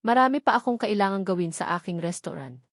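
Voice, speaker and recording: A woman's voice: mid-range voice, lively intonation, quick pace, no echo, studio quality, lightly acted. A woman with a Filipino accent voicing a proud, wounded female restaurant owner in an audio drama, hard and guarded. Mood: cold, guarded.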